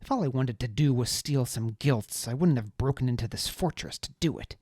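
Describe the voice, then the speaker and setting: A narrator voicing a battered young man thief who answers in a dry, sardonic, low-key way. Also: fairly quick, darkish tone, no echo, lightly acted. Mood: wry.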